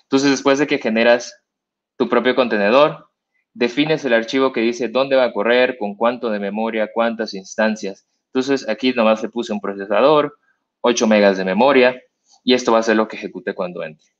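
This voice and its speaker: A man's voice: medium pitch, fairly even intonation, moderate pace. A young man with a Mexican accent giving a live coding demo at a meetup, explaining step by step with many fillers and restarts. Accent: Mexican Spanish. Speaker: meetup speaker. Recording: dull lo-fi stream audio.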